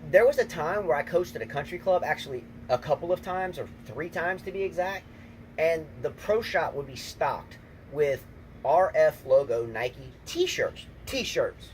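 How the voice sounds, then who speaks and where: A man co-hosting a casual tennis chat outdoors, emphatic and repetitive, talking excitedly. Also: fairly high pitch, unhurried. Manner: emphatic, repeats himself, excitable. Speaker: tennis channel co-host, coach. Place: outdoors, windy. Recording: noisy outdoor recording, wind.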